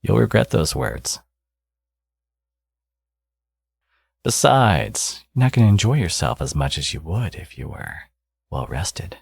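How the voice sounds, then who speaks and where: A man playing a smug, teasing supervillain and doting new father in an intimate ASMR audio roleplay, murmuring playfully and cockily in a breathy, gravelly voice. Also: low voice, big pitch swings, normal speed, dry recording, full character voice. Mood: teasing, cocky. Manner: playful, cocky, murmuring to a lover.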